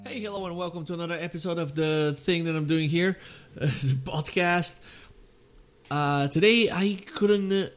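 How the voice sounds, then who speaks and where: A man's voice: on the high side, normal speed, music in the background. Rambling man hosting a podcast. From Bulgaria. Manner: rambling, self-deprecating, full of hesitant fillers. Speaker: podcast host and comedian. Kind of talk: casual podcast monologue.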